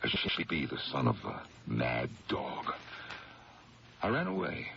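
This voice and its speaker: An actor playing a troubled lawyer in a 1970s radio drama, a man burdened and ashamed, speaking haltingly as if confessing. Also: rumbling low, wildly swooping pitch, vocal fry, a bit bright, full character voice. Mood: ashamed, grave. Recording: dull, hissy old radio broadcast.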